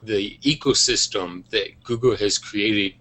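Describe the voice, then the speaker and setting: A man's voice: lowish voice, measured pace, sharp tone. A man giving his opinion as a guest on an online panel, firm in his views but hedging them with fillers. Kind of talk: casual panel discussion. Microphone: video call.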